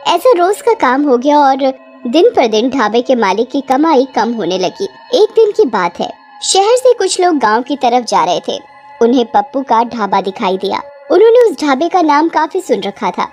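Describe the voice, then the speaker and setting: A woman's voice: high voice, a little mellow. A woman reading scripted narration for a cartoon story, with music behind her.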